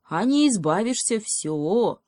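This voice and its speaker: A woman's voice: mid-range voice, lively intonation, slow pace, a little mellow, no echo, clean studio sound, voice pushed up. A woman voicing a sly male hedgehog in a children's story, speaking with mock gravity and teasing deliberation. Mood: mock-serious.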